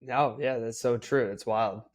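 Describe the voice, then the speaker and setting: A man's voice: mid-range voice, steady tone, measured pace. A man hosting a podcast, chatting casually and asking his guest open questions. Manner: friendly, uses fillers, asks open questions. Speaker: podcast host. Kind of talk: casual interview chat.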